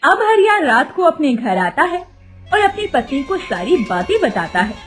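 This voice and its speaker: A woman narrating a children's cartoon story in a soft storytelling manner. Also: high voice, very animated, moderate pace, soft background music.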